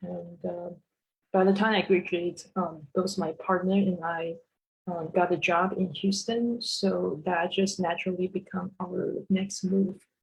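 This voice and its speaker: A woman's voice: on the low side, steady tone, measured pace, vocal fry, a little hiss or hum, poor audio. A woman from Taiwan presenting her sculpture work on a video call, talking hesitantly with many fillers. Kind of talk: casual presentation.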